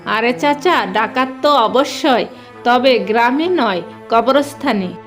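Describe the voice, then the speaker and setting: High, slow-spoken earnest village girl voiced by a woman. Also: a bit bright, soft background music.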